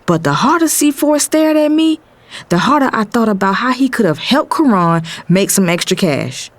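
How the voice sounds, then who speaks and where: An actress playing a protective, exasperated young woman in an audio drama, speaking in an expressive, emotive, slangy way as she narrates family turmoil. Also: on the high side, moderate pace, no echo. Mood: tense storytelling.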